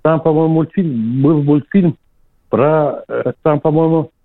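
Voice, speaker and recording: A man's voice: fairly high pitch, unhurried. A man phoning in to a radio show over a narrow phone line, chatting casually and groping for words. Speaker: phone-in caller. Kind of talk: casual chat. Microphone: telephone.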